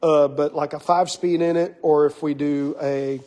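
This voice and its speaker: A hoarse man running a car shop, talking casually and rambling with lots of fillers as he shows off parts of a car build in his workshop. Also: a little high, fairly even intonation, moderate pace, mellow. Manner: rambling, filler-heavy, enthusiastic about parts. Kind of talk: casual shop walkaround talk.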